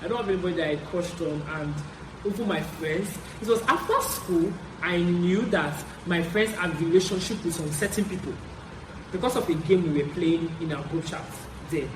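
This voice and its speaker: A young adult man with a Nigerian accent tells stories casually, in an animated way, repeating phrases for emphasis as a vlogger. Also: sits high, moderate pace. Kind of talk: casual story-time chat. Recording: roomy echo, clear background noise.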